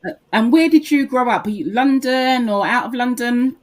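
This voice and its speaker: A woman's voice: fairly high pitch, normal speed. A woman hosting a podcast, introducing her guest enthusiastically and asking about her background. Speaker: podcast host.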